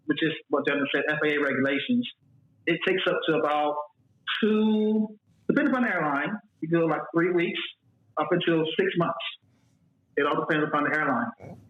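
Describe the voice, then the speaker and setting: A man's voice: on the high side, brisk. Man from Mississippi, tinny and echoey. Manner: repeats words, loose and rambling. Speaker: guest, flight attendant. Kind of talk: casual interview talk. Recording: echoey room, phone-like audio.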